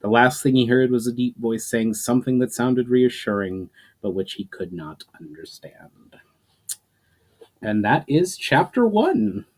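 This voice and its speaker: A man's voice: mid-range voice, animated, measured pace, a little mellow. A man reading an adventure story aloud in a dramatic narration style, starting phrases emphatically and letting them trail off.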